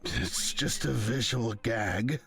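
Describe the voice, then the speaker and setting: A man's voice: medium pitch, slow pace, vocal fry, a bit bright, breathy edge, faint music behind, heavily acted. A man playing a haughty, condescending film snob in a visual novel game, with a pompous, patronizing, theatrical manner. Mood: exasperated, lecturing.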